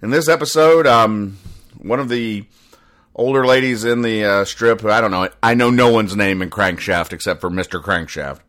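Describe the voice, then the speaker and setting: Deadpan man with a very low voice. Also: moderate pace. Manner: deadpan, pedantic, over-explaining. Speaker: podcast host. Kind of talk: deadpan comedy explainer commentary.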